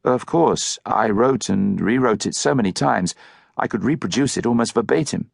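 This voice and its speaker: Man playing earnest young Englishman, low-voiced. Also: moderate pace, creaky, husky, no echo, clean studio sound, full character voice. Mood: earnest, respectful. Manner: earnest, articulate, formal.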